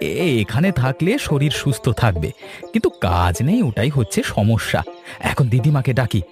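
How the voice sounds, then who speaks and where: A man voicing a caring, watchful grown grandson in a village cartoon, gentle and reassuring. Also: medium pitch, very animated, moderate pace, over music. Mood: reflective. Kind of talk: Bengali cartoon voice acting.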